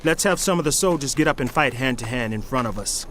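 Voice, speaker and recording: An actor playing an army commander in a dramatized scripture, calling out a challenge over outdoor noise. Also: male voice, mid-range voice, lively intonation, fast, lightly acted. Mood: challenging. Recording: noisy outdoor ambience behind voice.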